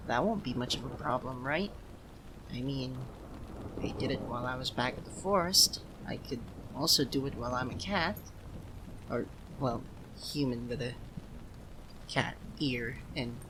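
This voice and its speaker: A man voicing a proud, easily flustered young cat-eared son of a nature deity in an ASMR roleplay, speaking haltingly to the listener. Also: a little high, vocal fry, a bit bright, slight background noise, exaggerated voice, poor audio. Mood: hesitant, improvising. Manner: haughty yet flustered, halting.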